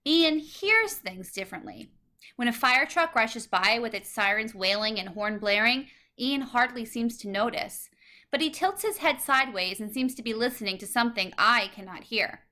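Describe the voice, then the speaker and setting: Bright-voiced woman reading a storybook. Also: on the high side, expressive, normal speed. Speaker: storybook reader. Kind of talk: scripted children's story narration, read aloud.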